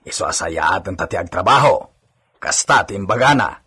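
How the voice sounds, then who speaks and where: A husky-voiced male servant played by a man in a radio drama, deferential to his masters and teasing with everyone else. His rough, breathy tone moves up and down animatedly as he talks. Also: low voice, expressive, brisk, pushed and bright, exaggerated voice. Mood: playful, teasing. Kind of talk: Ilocano radio drama acting.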